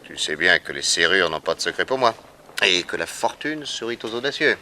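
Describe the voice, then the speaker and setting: An actor playing a bold, shrewd detective with an assured, grave delivery in a 1960s radio drama on a noisy old recording. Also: male voice, sits low, big pitch swings, a little fast, pushed and bright, full character voice. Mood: bold, secretive. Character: bold, shrewd male detective, confident boss to his assistant.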